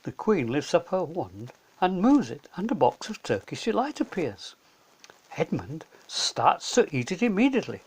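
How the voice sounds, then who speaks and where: A man's voice: fairly high pitch, big pitch swings, normal speed, husky, low-quality recording. A man narrating a scripted children's story as a storyteller.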